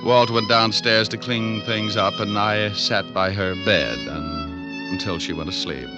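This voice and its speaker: An actor playing a wry, cocky, tough private detective in vintage hardboiled radio acting and narration. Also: male voice, on the low side, measured pace, muffled recording, over music, close to an everyday voice. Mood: weary, tender. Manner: wry, breezy, nonchalant.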